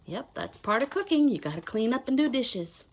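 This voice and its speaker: A woman reading a children's picture book aloud in a playful, expressive, sing-song way, adding simple asides to her young listeners. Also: a little high, normal speed. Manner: simple child-directed explaining. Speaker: children's read-aloud host, teacher.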